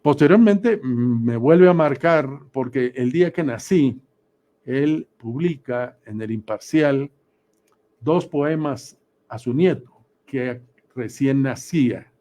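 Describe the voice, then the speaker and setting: A man in his sixties giving reflective, deliberate interview answers with measured pauses. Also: mid-range voice, mellow. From Guatemala. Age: 60s. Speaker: interview guest. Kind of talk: reflective radio interview answers, recounting memories.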